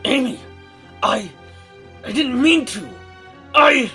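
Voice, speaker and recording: A man's voice: very high-pitched, lively intonation, slow pace, music in the background, full character voice. A man voicing a brash but caring heroic hedgehog in an audiobook drama, speaking earnestly. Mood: apologetic. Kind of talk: fan audiobook voice acting.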